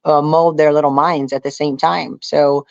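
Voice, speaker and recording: A woman's voice: sits low, level tone, normal speed, vocal fry, no echo, lo-fi sound. A woman talking casually and emphatically as an interview guest, often checking that the listener follows. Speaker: guest, reality survival show contestant.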